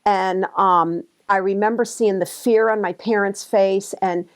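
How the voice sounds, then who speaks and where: A woman's voice: mid-range voice, fairly even intonation, a little slow. Woman in her sixties telling memories. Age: early 60s. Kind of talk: personal oral-history recollection.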